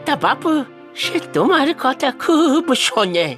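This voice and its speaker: Cartoon casting: woman playing a crotchety, bossy old man with a sharp, high voice and slow delivery. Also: sing-song, heavily acted. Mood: approving, confiding. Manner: bossy, scolding, exclamatory. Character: stubborn, crotchety old village father, excitable and scheming. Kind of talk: animated cartoon dialogue. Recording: music behind the voice.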